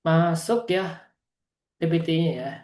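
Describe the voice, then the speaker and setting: A man's voice: a little high, slow pace, a little mellow, roomy sound. A man from East Java giving a motivational business presentation on a video call, earnest and constantly addressing his audience directly. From East Java, Indonesia. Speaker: business presenter at an online meeting. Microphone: video call microphone.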